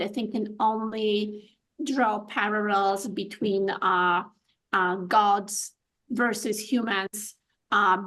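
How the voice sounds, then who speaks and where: A woman's voice: medium pitch, a bit bright, low-quality recording. A woman from Poland with a Polish accent, a guest on a podcast interview, speaking thoughtfully with many fillers and restarts. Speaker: guest artist.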